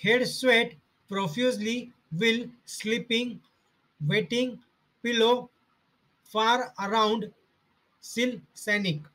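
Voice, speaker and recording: A man slowly reading out homeopathy study notes in short, halting fragments. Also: very high-pitched, steady tone, a bit bright, rough recording.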